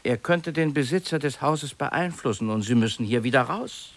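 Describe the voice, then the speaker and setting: Older male office clerk, played by an actor, radio drama, chatty, fussy, musing, conciliatory, mid-pitched. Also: expressive, normal speed, close to an everyday voice. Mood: worried, coaxing. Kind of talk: naturalistic radio play dialogue.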